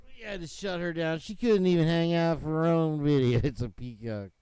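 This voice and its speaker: A man's voice: on the high side, normal speed, mellow, a little hiss or hum. A man hosting a casual livestream, joking and rambling and laughing often. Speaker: host.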